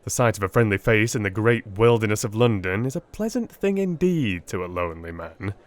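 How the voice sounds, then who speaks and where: A man playing a weary Victorian army surgeon recounting his memoirs in a measured, formal, theatrical audiobook reading. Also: on the low side, animated, natural voice. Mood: measured, grave. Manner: measured, formal, literary delivery. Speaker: audiobook narrator.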